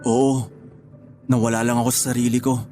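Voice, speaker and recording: A man playing a remorseful, sheepish young boyfriend in a Tagalog radio drama, apologetic and halting in his speech. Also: medium pitch, level tone, warm tone, soft background music, natural voice.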